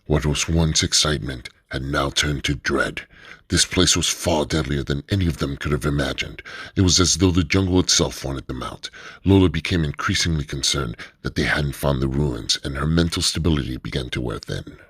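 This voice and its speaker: A man narrating a radio drama in a steady, measured storytelling manner. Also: extremely low pitch, husky, no echo, clean studio sound.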